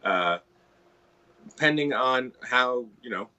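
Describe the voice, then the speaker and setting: A man's voice: mid-range voice, lively intonation, slow, bright, forceful tone, clean tone, muffled recording. A middle-aged Canadian man from Ontario, chatting as a guitar tech guest in a casual interview, rambling with many restarts and "you know"s.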